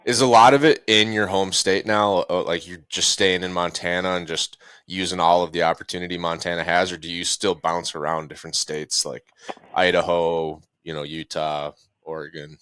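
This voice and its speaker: A man hosting a podcast interview, asking chatty, relaxed questions in casual slang. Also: low-pitched, moderate pace, smooth, no echo. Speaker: podcast host.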